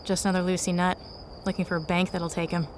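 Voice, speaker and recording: A woman plays a hardened survivor scout in an audio drama. Her voice is fairly low, dry and dismissive, with faint outdoor ambience and insects behind it. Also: little pitch movement, fast, background noise, natural voice.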